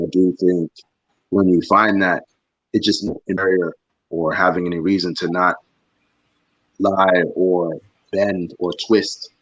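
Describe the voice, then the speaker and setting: A man on a live chat show who talks haltingly and searches for his words. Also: low voice, husky, dry recording, highs cut off. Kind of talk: casual chat.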